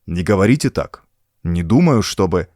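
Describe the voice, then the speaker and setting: A man playing a gruff, protective hero in an audiobook, terse and reassuring in a low-key way. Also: big pitch swings, moderate pace, a little mellow, no room sound, studio quality. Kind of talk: audiobook character dialogue.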